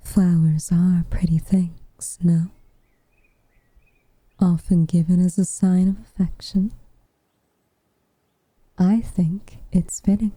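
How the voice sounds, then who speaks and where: A woman playing a reserved, tenderly affectionate witch in a whispered ASMR roleplay, with soft breathy delivery and measured, formal phrasing. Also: on the low side, level tone, no echo. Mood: fond.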